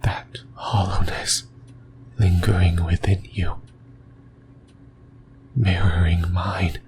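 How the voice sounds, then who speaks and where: A man voicing a lonely, possessive demon in a breathy, brooding whisper for an ASMR roleplay. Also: sits low, little pitch movement, background noise. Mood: tender, yearning. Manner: slow, halting, brooding delivery. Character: lonely, possessive sleep paralysis demon, lost and yearning.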